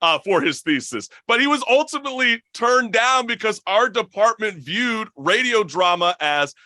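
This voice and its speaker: Young man, talk show host, high bright voice, effusive, casual interview chat, dry close sound. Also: expressive, normal speed, no echo. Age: young adult. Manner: enthusiastic, effusive.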